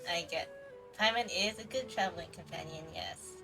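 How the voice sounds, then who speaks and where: Bright-voiced, hesitant woman livestreamer. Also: on the low side, normal speed, poor audio. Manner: hesitant, rambling, trailing off. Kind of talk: casual game stream chat. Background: faint game music.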